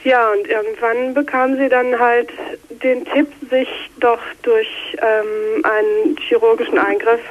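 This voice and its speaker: A woman of about thirty calling a phone-in with a slight cold, explaining her story carefully in a measured way. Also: on the high side, flat delivery. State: has a cold, slightly scratchy voice. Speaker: phone-in caller. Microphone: telephone. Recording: tinny narrow phone line.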